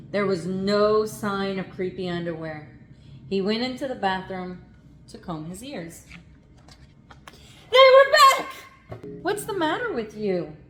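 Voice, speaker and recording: A woman reading a picture book aloud in a dramatic children's narration, expressive and stretching words for suspense. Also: fairly low pitch, a little hiss or hum.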